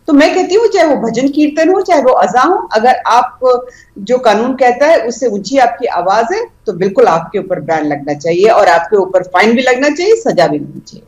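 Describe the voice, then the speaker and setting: Emphatic Indian woman with lively pitch. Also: moderate pace, reverberant. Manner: firm, emphatic. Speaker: party spokeswoman. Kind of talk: heated TV panel debate.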